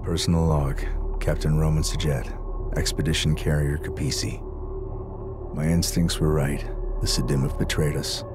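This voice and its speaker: Very deep, brooding starfleet captain. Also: male voice, flat delivery, music in the background. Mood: grim resolve. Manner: grave, measured, grim. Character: brooding, resolute, vengeful male carrier captain. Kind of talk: sci-fi game log narration.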